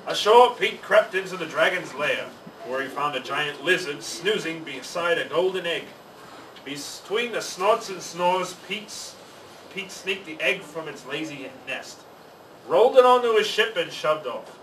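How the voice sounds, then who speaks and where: High-pitched man reading a storybook aloud. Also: animated, a little slow, fairly bright tone. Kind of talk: expressive scripted storybook narration. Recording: roomy, distant mic, faint noise.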